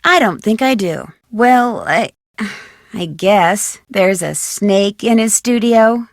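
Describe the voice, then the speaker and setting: A woman playing a bright, polite young detective in a video game, earnest and inquisitive, speaking in short separate lines. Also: medium pitch, lively intonation, takes it slowly, dry recording, studio quality. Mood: curious, chatty.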